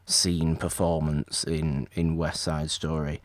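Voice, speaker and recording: A man's voice: a bass voice, little pitch movement, slow, no room sound. A man co-hosting a podcast, chatting casually about an old film and rambling with restarts. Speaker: podcast co-host.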